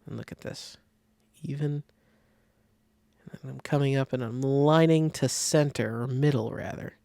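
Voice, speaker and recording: A man giving a casual step-by-step software tutorial, thinking aloud informally as he demonstrates. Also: medium pitch, unhurried, gravelly, no echo. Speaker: design tutorial instructor.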